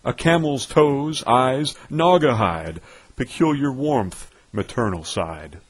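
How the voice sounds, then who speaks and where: A man recites verse with grand, pompous theatricality. His voice is husky and rough-edged, and he takes his time over each phrase, leaning on words for dramatic weight. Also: mid-range voice, animated, slow. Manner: pompous, declamatory, with dramatic emphasis. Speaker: poetry reader.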